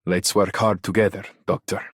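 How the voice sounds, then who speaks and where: An actor voicing a gruffly kind, diligent weaponsmith in a video game, plainspoken and measured. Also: male voice, deep voice, level tone, a little hiss or hum, lightly acted. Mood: calm, approving. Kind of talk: video game character voice lines.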